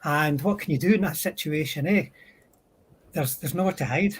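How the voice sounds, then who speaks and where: A man with a husky voice chatting casually as a guest on a hobby livestream. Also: on the high side, normal speed, slight background noise.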